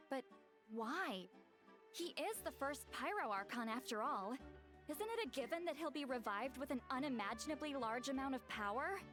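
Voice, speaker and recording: A woman's voice: sits high, animated, moderate pace, over music. A woman playing a proud, teasing, dignified elder in a video game, speaking with wry confidence. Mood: composed, serious. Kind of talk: video game character acting.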